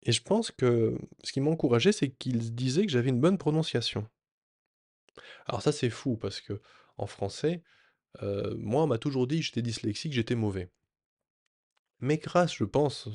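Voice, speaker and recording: A man sharing a personal story in a reflective, earnest way, often pausing and restarting his sentences. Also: mid-range voice, darkish tone, dry recording, clean studio sound. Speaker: vlogger.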